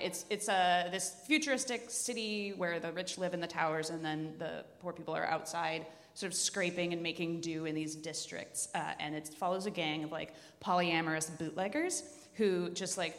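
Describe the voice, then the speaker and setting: A woman's voice: fairly low pitch, fairly bright tone. A woman chatting casually as a podcast host recommending books, enthusiastic and a little wry. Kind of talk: casual chat. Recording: reverberant hall.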